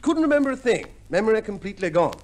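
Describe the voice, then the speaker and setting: An actor playing a jovial soldier husband home from the war, bantering and exclaiming in 1950s radio comedy style. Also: male voice, high voice, big pitch swings, fast, a little mellow. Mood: earnest. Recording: noisy old radio recording.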